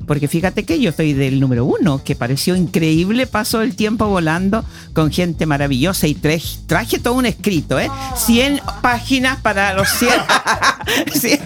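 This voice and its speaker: A woman's voice: medium pitch, expressive, normal speed, faint music behind. A woman co-hosting a radio show in a theatrical, joking manner. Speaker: longtime radio co-host. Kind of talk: casual radio chat.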